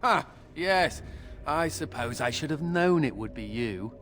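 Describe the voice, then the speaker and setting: An actor playing a flippant, rebellious young mage in a fantasy game, with a wry, informal and expressive delivery. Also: male voice, fairly high pitch, unhurried, a little hiss or hum. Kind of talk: fantasy game dialogue acting.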